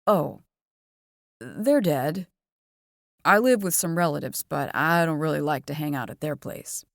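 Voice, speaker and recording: A woman reading the part of a relaxed, slightly wry teenage boy who plays in a band, in a lightly lowered voice. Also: measured pace, gravelly, dry recording, studio quality, full character voice. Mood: easygoing. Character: teenage boy, easygoing, laid-back musician.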